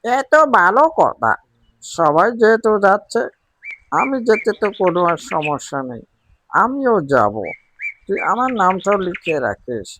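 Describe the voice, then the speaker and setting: Man voicing a cheerful, high-voiced cartoon crow. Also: sing-song, a little slow, fairly bright tone, a little hiss or hum, heavily acted.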